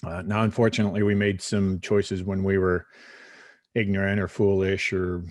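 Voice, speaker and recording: Male doctor guest, Texan accent, low and dry, rambling conversational answers. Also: flat delivery, normal speed, darkish tone, no room sound. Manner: rambling, many fillers and restarts, wry. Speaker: physician guest.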